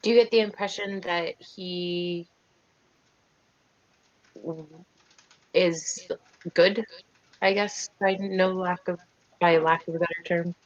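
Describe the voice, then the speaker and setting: A woman's voice: lowish voice, steady tone, takes it slowly, long pauses, highs cut off. A woman playing a tabletop game, thinking aloud tentatively in a casual, questioning way. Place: online group call.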